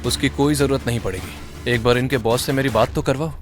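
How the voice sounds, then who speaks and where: A man playing an earnest, quietly confident, underestimated constable in an audio drama. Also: mid-range voice, fairly quick, faint music behind, lightly acted. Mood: calmly confident.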